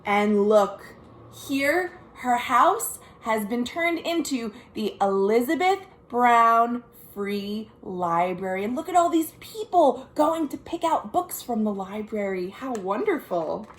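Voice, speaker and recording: An American woman, a children's librarian, reading a picture book aloud in an expressive, sing-song way with chatty asides to young listeners. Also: fairly high pitch, slow pace, reverberant. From United States. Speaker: children's librarian hosting a virtual storytime. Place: at home.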